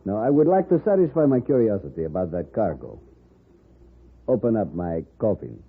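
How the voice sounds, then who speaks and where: A coldly confident scheming villain in an old radio western. His voice is low and moves freely, with formal, deliberate menace. The sound is a narrow, muffled vintage broadcast. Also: big pitch swings, creaky, a little hiss or hum. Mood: coolly commanding. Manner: formal, deliberate, coolly threatening.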